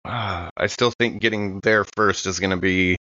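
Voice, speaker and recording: A man's voice: low-pitched, fairly even intonation, normal speed, bright, forceful tone, no room sound, highs cut off. A man playing a tabletop game, casually roleplaying and describing his character's actions.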